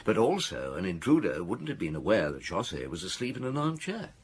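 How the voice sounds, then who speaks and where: A seasoned police chief inspector in an audio drama. His low, husky voice moves expressively as he deliberately lays out a case with wry reflection. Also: sing-song, full character voice. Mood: reflective. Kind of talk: radio drama dialogue, recounting a case.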